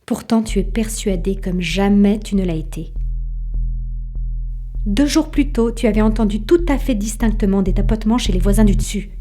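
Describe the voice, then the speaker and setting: A woman's voice: mid-range voice, moderate pace, mellow, slight background noise. A woman narrating a literary audio fiction in the second person.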